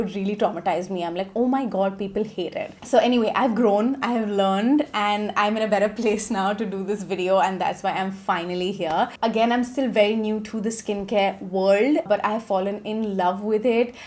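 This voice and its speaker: A woman's voice: mid-range voice, steady tone, fairly quick, hardly any pauses, a little mellow. Woman, thirty, stuffy nasal voice, casual vlog chat, reassuring. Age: 30s. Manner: chatty, reassuring, says "okay?" often. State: nasal from a cold. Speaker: beauty vlogger.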